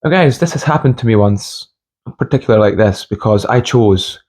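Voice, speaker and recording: A young man from Scotland and Hungary giving an informal motivational monologue with personal stories, speaking haltingly and repeating phrases for emphasis. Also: on the low side, darkish tone. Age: young adult. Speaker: motivational video host.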